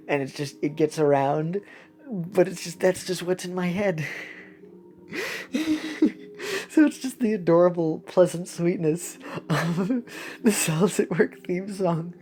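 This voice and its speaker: Low voiced girl streamer, kinda slow n rambly, playful and giggly, just chatting over a game. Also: warm tone. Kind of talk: casual livestream chat over gameplay.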